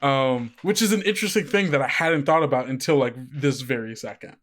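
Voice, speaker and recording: A man's voice: medium pitch, fairly quick, fairly bright tone. A man hosting a podcast as game master, chatting casually in a playful, self-deprecating, rambling way.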